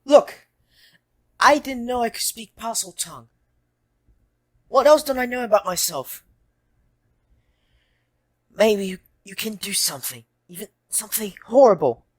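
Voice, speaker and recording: A woman's voice: a little high, measured pace, long pauses. A woman playing an earnest, troubled young schoolboy wizard in an audio drama, hesitant and self-doubting. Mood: troubled, defensive.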